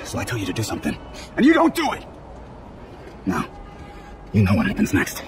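A man's voice: fairly low pitch, huge pitch swings, fairly quick. A man voicing a bullying, short-tempered gang boss in a video game, threatening in delivery. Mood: menacing, angry. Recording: noisy urban ambience behind.

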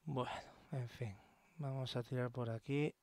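A relaxed man talks over a game at a middle pitch, muttering to himself and swearing now and then. Noticeable game noise sits behind his voice. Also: measured pace. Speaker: gameplay commentator. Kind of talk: casual gameplay commentary.

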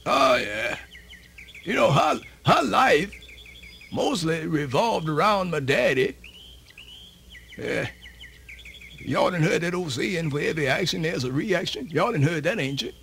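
A creaky old Southern storyteller. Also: male voice, a little high, sing-song, unhurried, long pauses, fairly bright tone, slight background noise, heavily acted. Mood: animated, reminiscing. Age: older. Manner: rambling, repeats himself, folksy. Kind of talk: radio theatre monologue.

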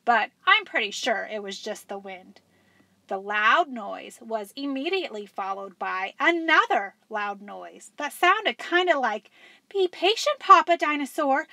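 An American woman in her forties reading a children's story aloud as a school librarian, animated and emphatic. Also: on the high side, creaky, no room sound. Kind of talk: expressive storybook read-aloud.

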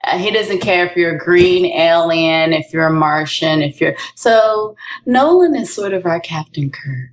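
Bubbly woman guest, low voice. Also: animated, a little slow. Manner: laughs often, bubbly. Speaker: guest actor. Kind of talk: casual chat over a call.

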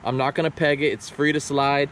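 A man in his thirties vlogging while fishing outdoors, chatting and coaching in a casual, excited way. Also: mid-range voice, brisk. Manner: excited, chatty, hushed when stalking fish. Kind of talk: casual vlog narration and coaching. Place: outdoors by a canal, sometimes in a car. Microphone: action camera. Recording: outdoor camera audio with wind and traffic noise.

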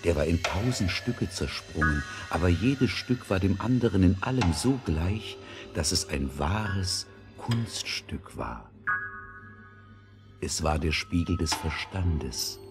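A man's voice: deep voice, animated, takes it slowly, over loud music. A man narrating a scripted fairy tale as the storyteller of an audio drama.

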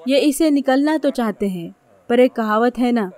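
A woman's voice: fairly high pitch, animated, moderate pace, a little mellow. A woman reading scripted narration for a documentary.

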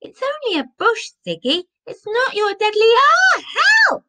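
A woman's voice: medium pitch, sing-song, a little slow, fairly bright tone, pitched above the performer's own voice. A woman voicing a flustered, fretful father who complains and panics easily in a story. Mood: alarmed.

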